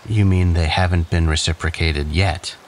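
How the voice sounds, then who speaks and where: A man's voice: sits low, steady tone, moderate pace, slight background noise, lightly acted. A man playing an arrogant, sardonic and defensive man with a flippant manner in an audio drama.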